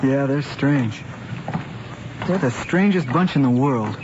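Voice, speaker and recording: A man's voice: mid-range voice, animated, takes it slowly. An American man acting an earnest, mild-mannered teenage boy chatting in a 1950s radio drama. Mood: musing. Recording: muffled old radio recording, noisy background.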